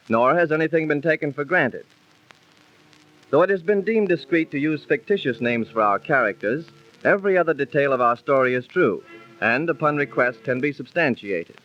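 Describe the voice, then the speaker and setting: A man announcing a radio drama in formal, measured, dramatic 1930s scripted narration. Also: mid-range voice. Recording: dull lo-fi 1930s radio recording.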